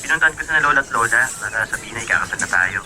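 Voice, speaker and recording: Very bright, fast-talking young fiancé. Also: male voice, medium pitch, lively intonation. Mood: evasive, hurried. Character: evasive young fiancé on the phone. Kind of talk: radio drama dialogue. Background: music bed.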